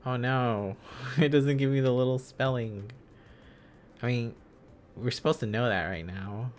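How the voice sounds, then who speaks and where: A man hosting an informal language-learning livestream, thinking aloud in a halting, casual, self-correcting way. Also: medium pitch, fairly even intonation, a little hiss or hum. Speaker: livestream host learning Korean along with viewers.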